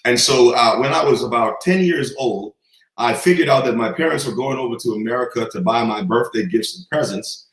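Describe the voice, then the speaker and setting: A man's voice: medium pitch, a little fast, echoey room, poor audio. A man from the Bahamas, a guest telling stories with confident, preacher-like cadence. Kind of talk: storytelling in a conversation.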